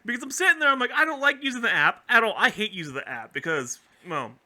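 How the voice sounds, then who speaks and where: A man co-hosting a podcast in casual, joking chat, animated and often repeating himself as he goes. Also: extremely high pitch, fast. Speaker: podcast co-host.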